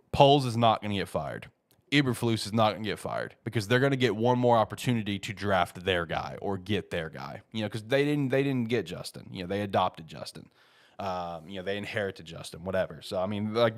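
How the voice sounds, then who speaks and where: A man hosting a sports talk show, speaking informally and repeating himself for emphasis, slightly stuffed up with a head cold. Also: low-pitched. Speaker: host of a football show. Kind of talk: casual sports talk, opinionated commentary.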